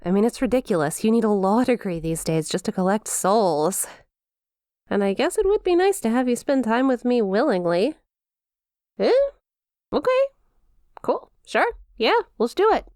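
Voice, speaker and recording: A woman's voice: on the high side, sing-song, moderate pace, pauses often, a little mellow, dry recording, studio quality. A woman voicing a centuries-old demon imp in an ASMR roleplay, haughty and mock-formal yet awkwardly lovestruck, slipping into hesitant casual speech. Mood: flustered, excited. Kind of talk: ASMR character roleplay addressed to listener.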